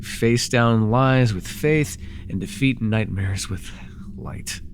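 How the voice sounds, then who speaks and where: A man playing a sly, haughty, taunting dark-magic villain ruler in a fantasy audio roleplay, with a mocking, drawling delivery. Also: medium pitch, slow, slight background noise. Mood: solemn, reciting.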